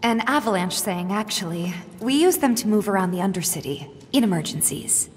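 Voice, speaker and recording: An actress voicing a confident, practical young resistance fighter in a video game, assured and matter-of-fact. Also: female voice, mid-range voice, animated, brisk, close to an everyday voice. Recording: echoey tunnel reverb, faint noise.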